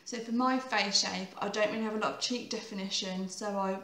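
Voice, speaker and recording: Chatty woman, croaky voice. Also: mid-range voice, fairly even intonation, brisk, a bit bright, echoey room. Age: 30s. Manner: chatty, rambling, self-correcting. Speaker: makeup tutorial host. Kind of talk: casual makeup tutorial.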